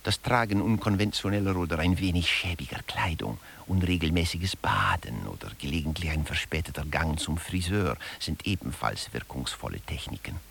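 A man's voice: a bass voice, expressive, moderate pace, vocal fry, hardly any pauses, fairly bright tone, slight background noise, exaggerated voice. A man narrating a satirical lecture in a radio play, articulate and emphatic in a mock-scholarly way.

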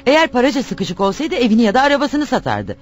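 An actress playing a nagging, reproachful wife in radio theatre, scolding and insistent with a sarcastic edge. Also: female voice, a little high, wildly swooping pitch, quick pace, soft background music. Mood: indignant. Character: nagging, reproachful wife pushing her jobless husband.

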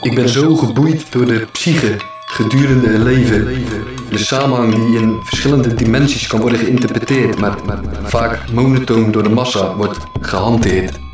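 A man's voice: medium pitch, normal speed. A man hosting a podcast with scripted narration, speaking in a musing, philosophical way. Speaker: podcast host. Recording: echoey, distant voice under loud music. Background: loud electronic music.

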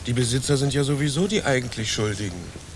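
An actor playing a formal, coolly calculating schemer in a German radio play, speaking with mock solemnity. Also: male voice, medium pitch, brisk, background noise, close to an everyday voice. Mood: scheming, deadpan. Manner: formal, deadpan. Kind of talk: German radio drama acting.

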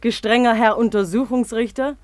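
A brazen young washerwoman acted by a woman in a 1950s radio drama. Her voice is high and dry, with no room around it. She teases and cajoles with mocking familiarity, sharp-tongued and afraid of nobody. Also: steady tone, normal speed, no echo, lightly acted. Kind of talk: 1950s German radio play acting.

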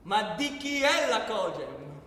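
An actor playing a sardonic narrator in a political radio play, explaining in an emphatic, didactic way with ironic rhetorical questions. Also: male voice, high-pitched, big pitch swings, slow pace, pushed and bright, exaggerated voice. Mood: mocking. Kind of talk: theatrical scripted narration, civic storytelling. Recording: echoey long-reverb hall, distant from microphone.

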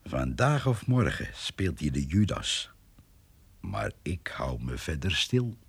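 An actor playing a sly, jovial country poacher with a husky voice and a folksy, drawling way of talking in a radio play. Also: male voice, extremely low pitch, big pitch swings, slow, full character voice. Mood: confiding, wary. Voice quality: gravelly, husky. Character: sly, jovial old male poacher, gossipy country rascal. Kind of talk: radio drama acting.

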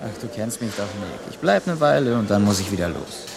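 An actor playing a laconic drifter and secret lover in a radio play, terse and guarded. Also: male voice, lowish voice, very animated, brisk, creaky. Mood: evasive.

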